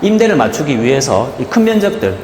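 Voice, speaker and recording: A man talks quickly with lively movement in a mid-range pitch, explaining like a property guide. His manner is polite and formal, a conversational sales pitch. There is faint noise behind him on location. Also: poor audio. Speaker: property leasing director, guide. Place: commercial building site and showroom.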